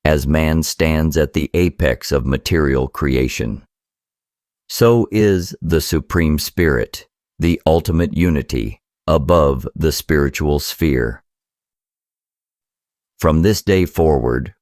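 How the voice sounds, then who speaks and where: Man, very low bass voice, slow measured delivery, solemn scriptural reading, formal phrasing. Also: darkish tone, no room sound, clean studio sound. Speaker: reader of a scriptural book.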